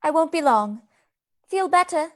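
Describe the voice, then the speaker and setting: An anxious young Victorian woman played by an actress, high-voiced and very expressive. She is earnest and solicitous, in the manner of radio drama. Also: unhurried. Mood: concerned. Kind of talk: radio play acting.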